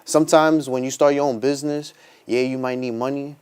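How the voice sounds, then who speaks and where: A man's voice: medium pitch, fairly even intonation, brisk, a little mellow, smooth. A man in his twenties chatting casually and informally as an interview guest, peppering his talk with conversational filler words. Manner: informal, street-casual, frequent "like" and "you know". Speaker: interview guest, small business owner. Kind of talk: casual chat.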